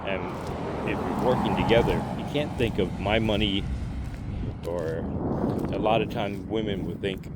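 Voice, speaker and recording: A man in his late fifties talks through advice on a walk outdoors, rambling and restarting with plenty of fillers. His voice sits low. Clear street noise and passing cars run behind him. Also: unhurried. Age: 50s. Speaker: vlogger giving money and marriage advice. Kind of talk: casual walk-and-talk monologue. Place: outdoors on a walk near traffic.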